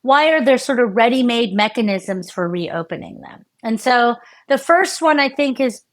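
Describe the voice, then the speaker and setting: An American woman neuroscientist explaining her research in a conversational interview, animated and full of fillers and restarts. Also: on the high side, normal speed. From United States. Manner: animated, many fillers and restarts, laughs often. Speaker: neuroscientist guest.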